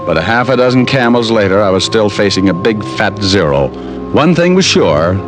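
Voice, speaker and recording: A man's voice: fairly low pitch, big pitch swings, moderate pace. A man playing a wisecracking, hard-boiled private detective in an old radio drama, wry and flippant. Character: wisecracking male private detective telling his own story. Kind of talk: 1950s radio detective drama narration and acting. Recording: vintage radio recording with music behind.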